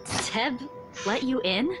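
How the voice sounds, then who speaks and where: A woman playing a brisk, teasing young office worker in a visual novel game, with a playful, sarcastic and dismissive manner. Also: a little high, lively intonation, music in the background. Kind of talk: voice-acted visual novel dialogue.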